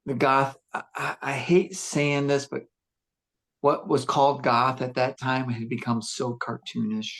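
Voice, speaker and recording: A man with a gruff voice, a musician chatting casually on a podcast, reflective and rambling with many fillers and restarts. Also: mid-range voice, level tone, a little slow, a bit bright. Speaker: musician discussing his band.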